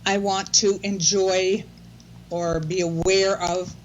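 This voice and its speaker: A woman's voice: mid-range voice, level tone, slow pace, lo-fi sound, slight background noise. An older woman as a guest in a casual chat, speaking in a thoughtful, reflective way.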